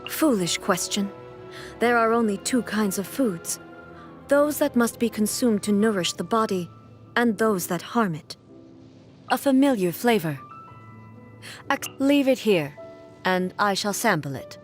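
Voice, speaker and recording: A woman's voice: medium pitch, lively intonation, lightly acted. Woman acting a regal, solemn warrior ruler over music. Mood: cold, curt. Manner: formal, measured, stately diction. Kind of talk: scripted video game character voice lines.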